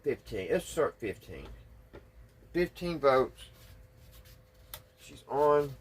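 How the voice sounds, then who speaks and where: A man with a slightly husky voice, talking casually and folksily through hands-on repair work, thinking aloud without hurry. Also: medium pitch, sing-song, slight background noise. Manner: folksy, unhurried, thinking aloud while working. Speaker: hobbyist radio restorer and video host. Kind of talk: casual hands-on workbench narration.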